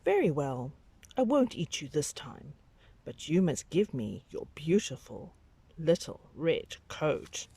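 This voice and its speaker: A woman voicing a menacing, boastful tiger for a children's storybook, with slow, partly whispered threats. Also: low voice, sing-song, dark tone, husky, heavily acted. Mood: grudging.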